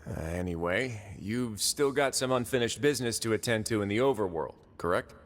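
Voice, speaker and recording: A man's voice: on the low side, expressive, a little fast, slight background noise, lightly acted. A man playing a casual, teasing fortress overseer in a game, with an informal manner. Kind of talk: game voice acting. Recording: music under the voice.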